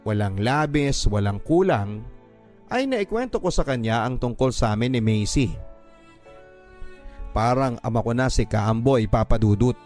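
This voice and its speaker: Man, radio drama narrator, first-person letter reading, earnest, heartfelt, middle pitch, faint background music. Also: lively intonation, a little slow, long pauses, darkish tone.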